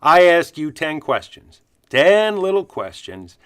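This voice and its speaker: A man playing a bright, fatherly bartender. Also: fairly high pitch, big pitch swings, takes it slowly, clear, resonant tone, no room sound, studio quality, exaggerated voice. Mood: shrewd, teasing. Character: gregarious fatherly male bartender to superheroes, wry and worldly. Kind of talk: comic radio play acting.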